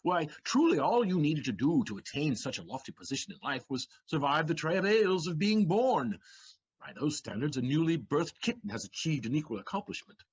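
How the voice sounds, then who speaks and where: A swaggering, shrewd manservant acted by a man speaks in a high, husky voice that swings widely as he declaims. He is mocking and eloquent in the manner of a stage comedy, heard on a slightly dull audio play recording. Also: big pitch swings, normal speed. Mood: scornful, defiant. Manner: declamatory, mocking, dramatic emphasis.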